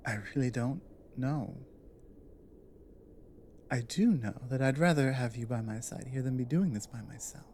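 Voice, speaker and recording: A woman playing a guarded but loyal female orc warrior in an ASMR roleplay, speaking in a halting, earnest, plainly worded way. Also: a bass voice, mellow, a little hiss or hum. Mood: earnest, tender.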